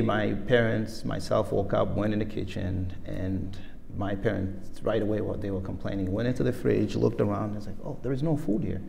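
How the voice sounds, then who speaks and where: A man talks on a panel, with a gravelly edge to his mid-range voice. He tells stories unhurriedly and laughs as he goes. His voice carries a long echo through a large auditorium, with a faint hum of the room behind it. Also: fairly low pitch, warm tone. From Somalia. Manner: storytelling, unhurried, laughs while telling. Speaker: panelist, farm collective member. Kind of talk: onstage panel conversation. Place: museum auditorium stage, indoors, large. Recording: echoey auditorium with faint ambience.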